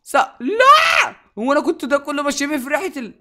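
A young man with an Egyptian Arabic accent hosting a gaming video in an animated way, addressing viewers and repeating phrases. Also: very high-pitched, fairly quick, soft background music. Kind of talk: excited gameplay commentary.

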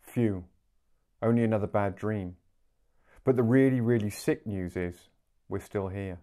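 A man in his forties reading a story aloud as an expressive audiobook narrator. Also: on the low side, measured pace. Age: 40s. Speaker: book narrator. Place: small living room, indoors.

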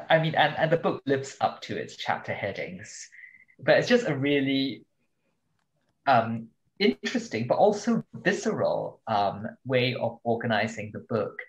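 A man's voice: mid-range voice, poor audio. A male translator guest talking about books in a thoughtful, hesitant way with many ums. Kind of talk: casual podcast interview.

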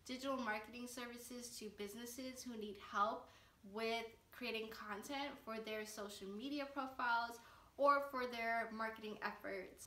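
Brief: twenties woman vlogger, earnest and upbeat, slightly high voice, roomy and off-mic. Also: pushed and bright, recorded from a distance. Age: 20s. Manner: upbeat, earnest, gestures with hands while talking. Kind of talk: casual vlog talk to camera. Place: living room, sitting on a couch.

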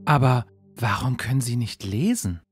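An actor voicing a kind, polite young prince in a cartoon dub. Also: male voice, mid-range voice, lively intonation, moderate pace, close to an everyday voice. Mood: earnest. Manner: earnest, polite. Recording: music behind.

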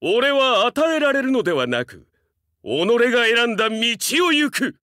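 A man's voice: extremely high pitch, huge pitch swings, moderate pace, pushed and bright, dry recording, studio quality, exaggerated voice. A man voicing a young, fiercely loyal dog-man samurai in a Japanese game, speaking earnestly in formal, archaic warrior speech with dramatic delivery. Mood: fierce, battle fervor.

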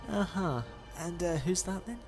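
Man as a quirky chatty time traveller, self-deprecating, lively pitch, long reverb, music behind. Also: a little slow. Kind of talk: fan audio drama acting.

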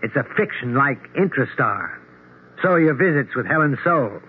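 A man's voice: on the high side, breathy edge, phone-quality sound. Sober, skeptical man on old radio. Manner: measured, blunt, reasoning. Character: sober, skeptical, concerned male friend. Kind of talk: 1970s radio drama acting. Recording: thin, hissy 1970s radio recording.

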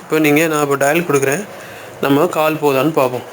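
A man's voice: fairly high pitch, steady tone, fast, a little hiss or hum, poor audio. A man hosting an informal step-by-step app tutorial, talking through each step in colloquial speech. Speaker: YouTube tutorial host.

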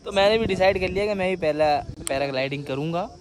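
A man's voice: high voice, quick pace. A man, chatting casually and slangily as a travel vlogger, often repeating his phrases. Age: 20s. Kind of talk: casual travel vlog chat. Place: outdoors on hillsides and in a car. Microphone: phone at arm's length. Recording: noisy outdoor phone recording with vehicle sounds.

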